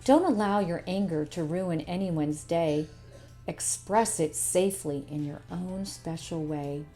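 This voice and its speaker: Slow-paced woman reading a children's story. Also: fairly low pitch, dark tone, soft background music. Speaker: storytime host and narrator. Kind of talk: scripted children's storybook narration.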